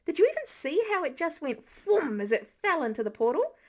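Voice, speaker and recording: An excitable teenage superhero boy, played by a woman, high in pitch. He rambles in breathless run-on sentences, throws in sound effects and is quick to apologize, as in a fan fiction audiobook. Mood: excited, gushing.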